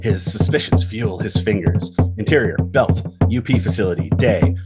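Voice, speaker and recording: A man with a low voice narrates a screenplay table read, delivering scene headings and action lines. Loud instrumental music plays underneath his reading. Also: moderate pace. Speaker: narrator reading stage directions.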